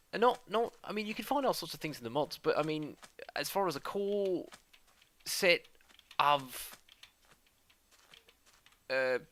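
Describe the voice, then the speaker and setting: A man's voice: fairly high pitch, fairly bright tone, voice pushed up, full character voice. A man putting on a playful mock Australian accent while giving rambling let's-play commentary. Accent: put-on Australian, based on a New Zealand acquaintance. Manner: playful, self-mocking, rambling.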